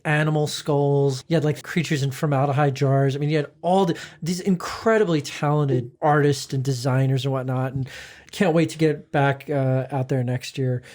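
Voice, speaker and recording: A man's voice: a little high, darkish tone. A man co-hosting a podcast, chatting upbeat and rambling with fillers. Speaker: podcast co-host. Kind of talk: casual chat.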